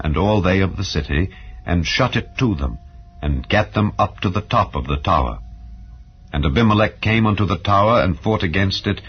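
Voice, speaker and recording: Male narrator for dramatized Bible audio: very low pitch, solemn, measured, slightly dull recording. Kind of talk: dramatized scripture reading. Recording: dull, muffled lo-fi sound.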